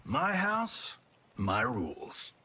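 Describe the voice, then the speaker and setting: An actor with an American accent playing a vain, glib, middle-aged martial arts movie star in a cinematic video game. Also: male voice, medium pitch, huge pitch swings, very slow, noisy background, heavily acted. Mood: defiant. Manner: glib, swaggering.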